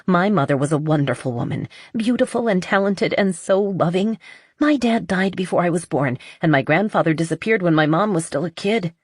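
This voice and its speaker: A woman voicing an anxious, evasive young romantic heroine in an audiobook, halting and pleading. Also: fairly low pitch, lively intonation, husky, no room sound, clean studio sound, pitched above the performer's own voice. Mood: defensive, upset.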